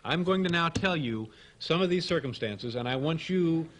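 A man's voice: a little high, lively intonation, moderate pace, fairly bright tone, low-quality recording. A man in his thirties hosting a late-night comedy show, joking in a dry, mock-serious way at his desk in a TV studio. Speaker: talk show host. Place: TV studio stage before an audience. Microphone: desk microphone.

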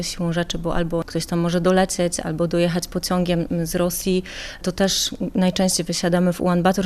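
A woman's voice: on the low side, darkish tone. A woman talking conversationally as a travel guide in a radio interview, chatty and explanatory in long, rambling sentences.

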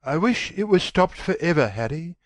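A man playing a sensitive, anguished young gentleman, brooding and fearful, in a dramatic audiobook reading. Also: on the high side, big pitch swings, normal speed, pitched above the performer's own voice. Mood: bitter. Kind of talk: dramatic audiobook character acting.

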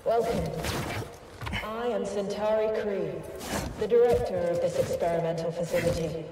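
A man's voice: high voice, unhurried. A man playing a facility director giving a formal recorded greeting in a video game. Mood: formal, calm. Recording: echoey distant hall, clearly noisy.

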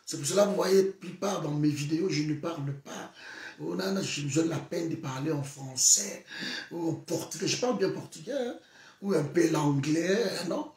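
A man in his thirties with a Congolese French accent and Lingala as his first language, on a sofa in an echoey room, set a little back from the microphone. His voice sits slightly high, and he argues his point with mounting passion, at times rising to a shout. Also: moderate pace. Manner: switches between French and Lingala, grows heated and shouting. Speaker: political vlogger. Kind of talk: impassioned political monologue to camera. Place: indoor room, seated on a sofa. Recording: echoey room, voice a bit far from the mic.

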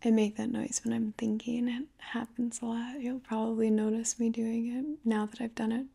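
A woman's voice: fairly high pitch, flat delivery, moderate pace, creaky, dark tone, husky. A woman in her twenties doing an ASMR roleplay in a soothing, whispery close-up murmur. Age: 20s. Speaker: ASMR performer. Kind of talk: ASMR roleplay, soft slow intimate talk. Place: living room, close to the camera.

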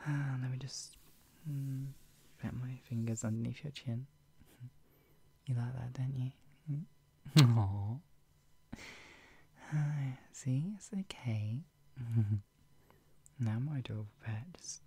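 A doting, playful lover acted out by a performer in an intimate roleplay ASMR. The voice is mellow and crackles with fry, often slipping into whispers. The delivery is teasing and flustered, full of soft hums and hesitant murmurs. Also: mid-range voice, heavily acted. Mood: soothing, playful. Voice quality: breathy, husky, often dropping into whispers. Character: doting, playful, flustered lover tenderly caring for an ill pet-named partner.

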